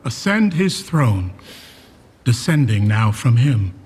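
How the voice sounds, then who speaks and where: An actor playing a solemn noble messenger, ceremonious and weighty, in a Shakespearean radio play. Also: male voice, medium pitch, very animated, slow pace, a little mellow, husky, exaggerated voice. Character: solemn noble messenger proclaiming a new king. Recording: long reverberant radio drama sound.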